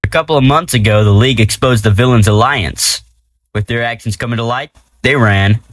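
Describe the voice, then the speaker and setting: A man's voice: medium pitch, fairly quick, fairly bright tone, dry recording, soft background music, natural voice. Man acting a cocky wisecracking superhero. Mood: breezy, boastful. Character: cocky, wisecracking male superhero, adult, self-important. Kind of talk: audio drama character acting.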